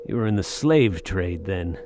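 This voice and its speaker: A man playing a gruff, blunt, defiant adventurer in a pulp audio drama. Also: sits low, lively intonation, measured pace, a little mellow, a little hiss or hum. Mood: bitter defiance. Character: tough, defiant male adventurer, gruff soldier of fortune.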